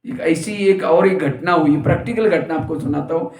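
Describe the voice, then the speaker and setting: A man's voice: on the high side, normal speed, a little mellow. A man giving a spiritual discourse, telling funny stories and acting out what people said. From India. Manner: storytelling with jokes and acted quotes. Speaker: spiritual teacher. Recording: roomy hall echo.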